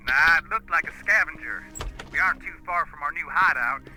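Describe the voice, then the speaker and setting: Cocky, higher-voiced sarcastic resistance lookout. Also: male voice, fairly quick, bright, forceful tone, faint music behind, low-quality recording. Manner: flippant, sneering, slangy. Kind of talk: video game drama acting.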